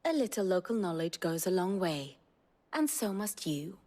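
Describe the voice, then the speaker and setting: A woman's voice: on the low side, big pitch swings, moderate pace, dark tone. An actress playing an elegant woman mentor and strategist in a video game, speaking in an erudite, formal and archly theatrical way. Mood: wry, instructive. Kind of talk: dramatic expository game dialogue.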